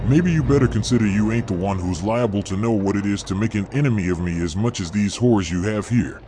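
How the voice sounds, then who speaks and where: A man's voice: fairly low pitch, fairly quick, background noise, close to an everyday voice. A man voicing a sneering Old West gang boss. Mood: menacing. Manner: sneering, menacing drawl. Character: sadistic, whiskey-soaked Old West gang boss, male. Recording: music playing behind.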